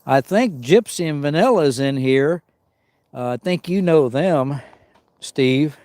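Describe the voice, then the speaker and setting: A man's voice: a little high, expressive, slow, darkish tone, no echo. A man hosting a camping livestream outdoors in the cold woods, sniffling as he chats with viewers and greets them by name. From Arizona. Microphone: small mic worn on him.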